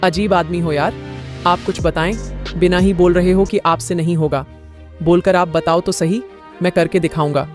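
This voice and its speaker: A woman's voice: fairly low pitch, steady tone, moderate pace, soft background music, lightly acted. A woman voicing a naive, helpful young male donkey who talks earnestly in a children's storybook tale. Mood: insistent. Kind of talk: children's moral storybook character voice.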